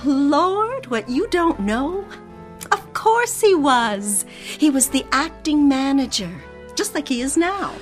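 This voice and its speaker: A woman's voice: high-pitched, very animated, takes it slowly, faint music behind, full character voice. A woman playing a chatty, opinionated hotel switchboard operator who loves gossip, in a radio detective drama. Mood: knowing.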